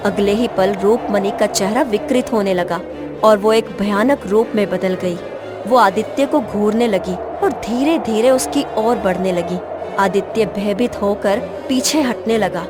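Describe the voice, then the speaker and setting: A woman's voice: mid-range voice, measured pace. A woman storyteller giving scripted, dramatic narration of a fairy tale. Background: background music.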